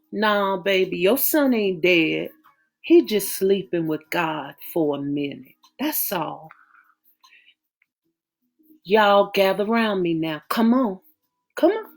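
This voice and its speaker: A woman voicing a calm, loving mother and matriarch who coaxes and soothes in a Southern dialect. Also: medium pitch.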